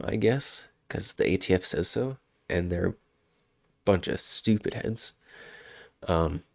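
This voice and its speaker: A man's voice: low-pitched, gravelly, no echo, studio quality. A man with a head cold, sounding congested, chatting casually and rambling on a live stream. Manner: rambling, self-interrupting, chuckling.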